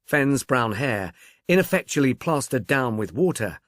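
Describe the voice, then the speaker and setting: A man reading scripted literary narration as an audiobook narrator, in a measured, articulate storytelling manner. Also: medium pitch, big pitch swings, a bit bright, dry recording, clean studio sound.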